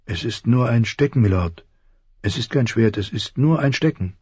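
A man's voice: low voice, fairly even intonation, slow, vocal fry, darkish tone, husky, no room sound, muffled recording, exaggerated voice. A man voicing a timid, frightened butcher's boy in his teens in an audiobook, meek and murmuring. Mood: frightened, pleading.

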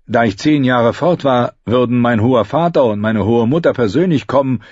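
A man's voice: mid-range voice, moderate pace, darkish tone, no room sound, muffled recording, voice pushed up, close to an everyday voice. Man playing a haughty young lord, raised voice. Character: proud, impatient young lordly heir. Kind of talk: audiobook character voice.

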